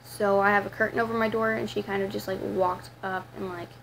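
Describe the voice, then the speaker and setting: Teenage girl, raspy voice, flat delivery, rambling vlog talk, faint background hiss. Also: mid-range voice, brisk, rough recording. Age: teens. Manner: rambling, many fillers and restarts. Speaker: vlogger. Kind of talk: casual confessional vlog.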